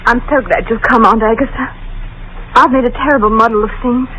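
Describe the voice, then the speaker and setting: A woman's voice: on the high side, fairly even intonation, brisk, pushed and bright. A woman playing a distraught, guilt-stricken young wife, pleading and tearful, in 1940s radio drama style. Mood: anxious, subdued. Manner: tearful, pleading, breathless exclamations. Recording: muffled, noisy 1940s radio recording.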